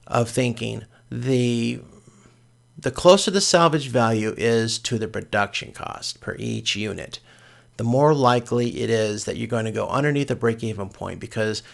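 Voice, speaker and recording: Very creaky-voiced male instructor. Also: medium pitch. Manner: explains step by step in plain informal words. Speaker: college course instructor. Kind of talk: informal spreadsheet walkthrough lecture.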